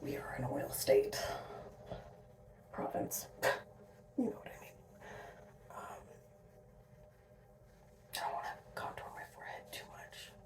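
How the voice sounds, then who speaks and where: A sleep-deprived young woman from Alberta, rambling in a soft whisper with asides and little laughs as she does her drag makeup. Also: slow, long pauses. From Alberta, Canada. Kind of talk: casual whispered makeup tutorial. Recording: roomy, mic set at a distance.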